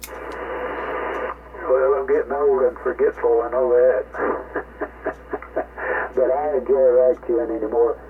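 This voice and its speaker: An elderly man in his eighties with a creaky, gravelly voice rambles casually as he reminisces on amateur radio. The signal is narrow and tinny, with faint noise and hum behind him. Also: medium pitch, flat delivery, takes it slowly, pauses often. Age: 80s. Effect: narrow radio transmission. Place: home radio station, heard over the air. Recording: noisy, tinny ham radio link with hum.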